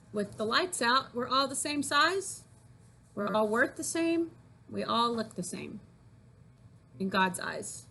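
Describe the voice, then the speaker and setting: A woman teacher reading aloud expressively and explaining things simply for children, with an animated, folksy delivery. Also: mid-range voice, vocal fry, a little hiss or hum. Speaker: teacher reading poems to grade-school children.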